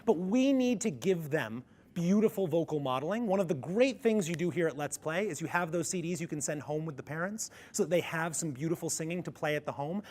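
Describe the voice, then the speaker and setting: A man presenting a music education workshop to a live audience, with a ringy, resonant voice and an energetic coaching manner. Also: fairly high pitch, a little fast. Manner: energetic, coaching the audience through vocal exercises. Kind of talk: conference workshop talk. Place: conference room with a live audience.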